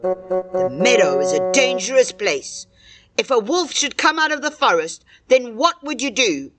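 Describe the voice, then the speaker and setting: A woman's voice: fairly high pitch, sing-song, measured pace. A woman voicing a stern, worried old grandfather in a children's story, scolding and warning. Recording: music behind the voice.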